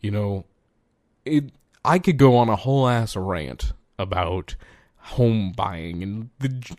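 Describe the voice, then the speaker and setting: A man's voice: lowish voice, dry recording, studio quality. A man in his twenties hosting a solo podcast, rambling casually, swearing freely and laughing at himself. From United States. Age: 20s. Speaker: podcast host.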